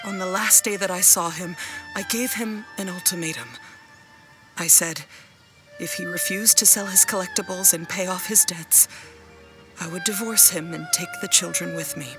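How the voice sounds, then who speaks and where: A woman playing a worried, gentle but firm middle-aged wife and mother in a video game, speaking in an earnest, measured way. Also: on the low side, fairly even intonation, music in the background, natural voice. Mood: troubled, firm.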